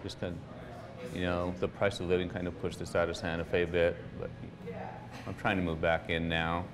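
A man with a deep voice gives a casual, reflective interview. His delivery is flat and a little halting. Clear chatter of a busy room sits behind him. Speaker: barber at a family shop. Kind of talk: documentary interview, casual recollection.

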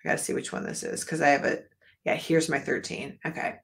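A middle-aged woman talks with a slightly low voice as she hosts an art live stream from a home studio. She rambles in a chatty way, addressing viewers directly. Her voice is starting to wear out. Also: steady tone, a little fast, gravelly. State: losing her voice. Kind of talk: casual live-stream chat.

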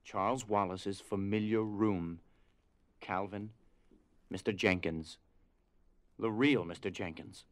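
A man narrating a scripted drama as storyteller, with grave, deliberate phrasing. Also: fairly low pitch, fairly even intonation, no echo.

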